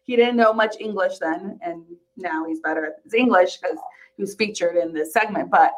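A woman co-hosting a casual recap chat about a TV show, telling things in an animated, giggly way. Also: lowish voice, normal speed, low-quality recording. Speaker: podcast co-host.

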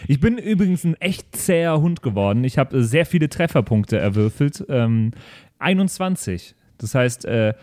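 A man's voice: mid-range voice, sing-song, normal speed, darkish tone, dry recording, studio quality. A man chatting casually on a role-playing podcast, presenting his character in a joking, self-mocking manner. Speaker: tabletop role-playing player. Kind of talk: casual chat on an actual-play podcast.

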